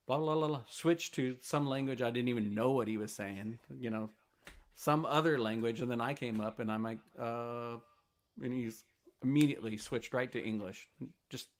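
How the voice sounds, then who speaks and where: A man from the United States, a guest on the show, telling rambling anecdotes with fillers in a casual chat. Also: medium pitch. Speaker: guest bass player.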